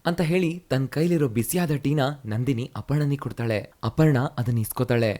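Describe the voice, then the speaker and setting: A man reads a scripted children's story with a steady, even delivery. His voice is dark and mellow, at a middle pitch. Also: normal speed. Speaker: storyteller narrator.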